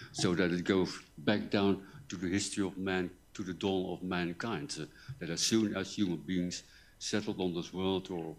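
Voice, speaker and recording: An older man speaks as a visiting lecturer in a low, soft voice. He goes carefully and haltingly, often pausing and repeating words as he addresses a university hall from his seat at a microphone. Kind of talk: conference lecture in English. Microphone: table microphone, seated.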